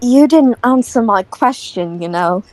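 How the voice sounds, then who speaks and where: A woman's voice: on the high side, animated, measured pace, a little mellow, no echo. A woman playing a prickly, guarded young woman who is easily flustered, curt and defensive, in an audio drama.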